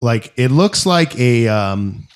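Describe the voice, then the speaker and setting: Podcast guy rambling through a story, lots of likes and you knows, mid pitch. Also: takes it slowly, clean tone. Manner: rambling, filler-heavy, animated storyteller. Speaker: podcast co-host. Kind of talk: casual chat, storytelling.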